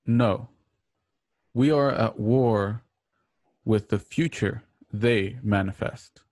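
A man playing a grave, fatalistic rebel in a radio play, terse, deliberate and solemn. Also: on the low side, darkish tone, no echo, studio quality. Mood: grave, resolute. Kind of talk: remote radio play reading.

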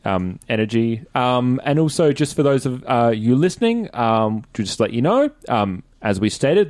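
Australian man, average pitch, expressive melody, casual podcast host, dry recording. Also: dry recording. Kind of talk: casual interview chat.

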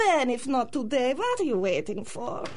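A woman's voice: high voice, big pitch swings, moderate pace, darkish tone. A woman playing a stubborn, scolding older immigrant mother in a radio drama, with clipped foreign phrasing. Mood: weary. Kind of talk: 1930s-style radio drama acting.

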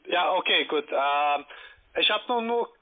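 High-pitched non-native salesman on phone. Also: lively intonation, unhurried. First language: not German. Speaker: call-centre caller pitching cryptocurrency. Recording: tinny narrowband phone line.

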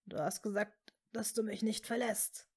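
A woman voicing a man deeply in love, hushed and pleading, in a fantasy audiobook. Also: steady tone, measured pace, slightly rough.

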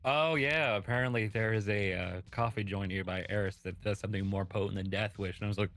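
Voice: low voice, quick pace, fairly bright tone. A co-commentator chatting casually on a game stream, joking and slipping into mock quotes. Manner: jokey, slips into mock quotes.

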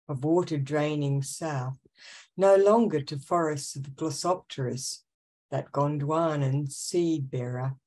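A woman in her sixties reading poetry in a measured, deliberate way on a video call. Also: sits low, a little mellow. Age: 60s. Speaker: poet at an open mic. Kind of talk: poetry reading with a short spoken introduction. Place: home room with bookshelves, indoors. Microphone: video call with headset.

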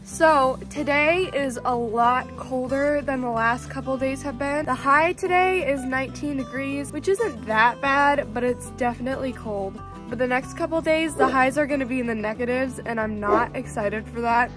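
A woman's voice: sits high, normal speed, few pauses, over music. A young American woman, in her teens or just past, talking casually to the camera as a farm vlogger. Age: teens to young adult. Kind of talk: casual vlog narration. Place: snowy farm outdoors, barns, and a room indoors. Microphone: phone at arm's length.

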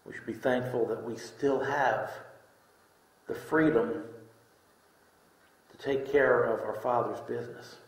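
A middle-aged man preaching a sermon in deliberate short phrases with pauses, reading scripture from a lectern. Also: mid-range voice, steady tone. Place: indoor room, speaking from a lectern. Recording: echoey room.

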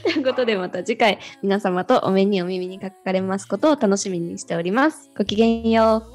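A woman's voice: medium pitch, brisk, darkish tone. A woman co-hosting a show in a polite, conversational style. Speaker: co-host. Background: background music.

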